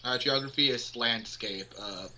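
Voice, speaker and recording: A man's voice: mid-range voice, a little slow, sharp tone, a little hiss or hum. A man giving casual, joking commentary as a co-player in a game playthrough.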